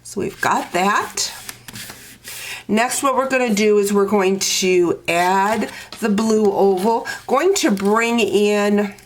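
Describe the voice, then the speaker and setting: A woman with a stuffy, congested voice talks through a card-making project in an unhurried, step-by-step way. Her pace is slow and easy, with faint background noise and small clicks under her voice. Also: mid-range voice. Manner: slow, step-by-step instructing with fillers. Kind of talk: crafting tutorial walkthrough.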